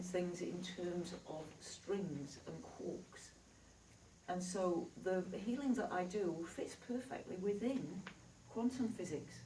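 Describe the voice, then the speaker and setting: A woman's voice: fairly low pitch, recorded from a distance, noisy background. Yorkshire woman speaking in an echoey hall. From Yorkshire, England. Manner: chatty, reassuring, laughs easily. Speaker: spiritual healer. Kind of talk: live stage talk to an audience. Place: hall with a live audience. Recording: echoey hall recorded from afar.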